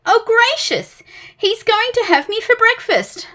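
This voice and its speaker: A woman voicing a tiny, jittery man who panics at everything, in shrill, squealing bursts. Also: big pitch swings, unhurried, pushed and bright, full character voice. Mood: panicky.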